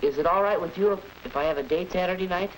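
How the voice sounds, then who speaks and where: A man's voice: high voice, fast, close to an everyday voice, low-quality recording. Hissy old film, earnest nervous teenage American boy, acted by a young man. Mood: anxious. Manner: hesitant, boyish. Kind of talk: 1940s instructional film acting. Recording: old film soundtrack with steady hiss.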